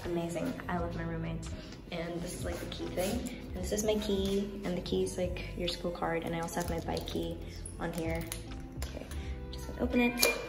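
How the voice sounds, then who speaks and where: A woman's voice: fairly low pitch, fairly even intonation, a little fast, vocal fry, over loud music. Bubbly young woman vlogger, casual and chatty. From Indonesia. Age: young adult. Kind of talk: casual room-tour vlog chat. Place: small furnished dorm rooms and bathroom.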